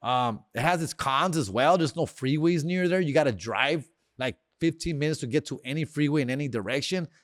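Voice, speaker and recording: A man talks off the cuff, tossing in slang and bits of Spanish. His voice sits a little high for a man. The recording is close and dry. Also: brisk, hardly any pauses, no room sound. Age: 40s. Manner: slangy, drops bro, dog and fool, mixes in Spanish phrases. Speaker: podcast host. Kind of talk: casual monologue.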